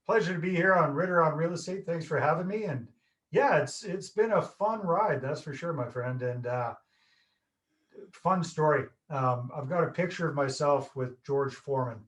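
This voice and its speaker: A chatty Canadian man in his fifties tells stories with a joking, self-deprecating ease. His voice sits a little high for a man. He is talking over a video call. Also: normal speed, a bit bright. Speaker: guest. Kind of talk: casual interview chat.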